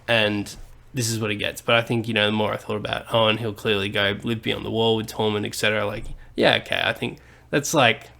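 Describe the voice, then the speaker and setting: A man talks in a loose, animated way as he co-hosts a podcast. He rambles from thought to thought and swears casually. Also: fairly low pitch, fast talker, gravelly. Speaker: podcast co-host. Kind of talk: casual chat.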